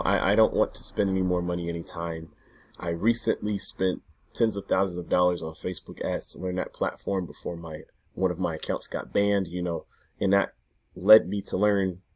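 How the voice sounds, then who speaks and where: A man in his thirties speaks in a very low, hoarse voice, chatty and loose, often restarting his sentences. He talks straight to the camera from his home garage. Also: darkish tone. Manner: chatty, loose, self-interrupting. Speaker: affiliate marketing vlogger. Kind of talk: casual talk to camera.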